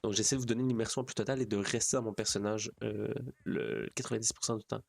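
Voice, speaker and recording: A man's voice: on the low side, fairly even intonation, fast, creaky, no echo. A man with a Quebec French accent chatting casually to livestream viewers, rambling and repeating his phrases. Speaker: streamer.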